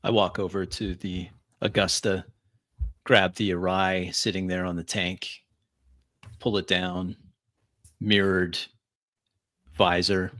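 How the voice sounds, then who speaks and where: A man's voice: sits low, level tone, takes it slowly, pauses often, a bit bright. A man improvising first-person narration of his character's actions in a tabletop roleplaying game.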